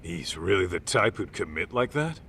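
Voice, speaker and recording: A gruff middle-aged detective for a video game drama. His voice sits low with a bright, tense edge and moves around animatedly. He talks in blunt, informal phrases, like someone with little patience left, over faint background ambience. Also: sing-song, normal speed, slight background noise. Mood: uneasy, dry. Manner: informal, blunt, clipped tough-guy phrasing. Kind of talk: video game cutscene dub acting.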